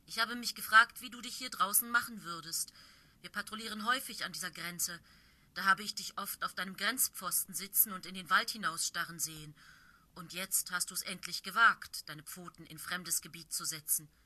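A woman's voice: lowish voice, normal speed, bright, forceful tone. A woman voicing a proud, stern she-cat who leads a warrior clan, speaking in a firm, commanding way. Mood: stern, approving.

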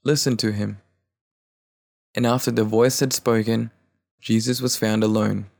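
A man's voice: lowish voice, level tone, measured pace, creaky, a little mellow. A man reading a gospel passage aloud as a volunteer reader.

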